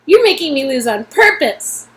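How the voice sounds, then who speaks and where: A woman's voice: high-pitched, sing-song, moderate pace, voice pushed down. A woman voicing an anxious young woman in love, pleading in an emphatic, emotional way, as in a dramatic game reading. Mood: playful, indignant.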